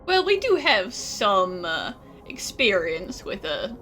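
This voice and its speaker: A woman's voice: mid-range voice, big pitch swings, takes it slowly, pushed and bright, soft background music. A woman gaming streamer giving casual playthrough commentary with wry, sarcastic asides.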